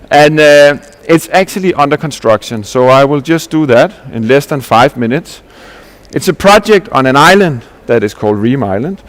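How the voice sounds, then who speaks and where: A man from Denmark, giving an earnest conference presentation as a landscape architect, explaining ideas with restarts and rhetorical questions. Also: on the high side.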